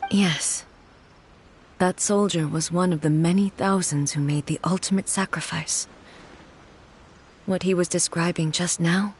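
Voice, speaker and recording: A woman voicing a calm, regal woman ruler in a video game, speaking in a measured, formal and quietly grave way. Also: lowish voice, slight background noise, lightly acted.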